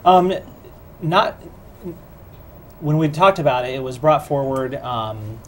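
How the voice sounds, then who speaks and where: A man in his thirties, a city councilman, explaining at length as a talk show guest in a small studio, steady with some ums. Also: mid-range voice, slight background noise. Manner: measured, explanatory, with fillers. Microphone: lapel mic.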